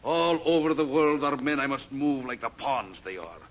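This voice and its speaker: An actor playing an imperious, ruthless villain scientist bent on ruling the world, commanding and menacing in radio melodrama style. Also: male voice, medium pitch, expressive, moderate pace. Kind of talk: radio serial melodrama acting. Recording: muffled, hissy old radio recording.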